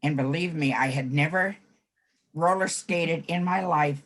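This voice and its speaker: An 89-year-old American woman reminiscing in a group interview, with halting, deliberate phrasing. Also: low-pitched. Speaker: former scout troop helper.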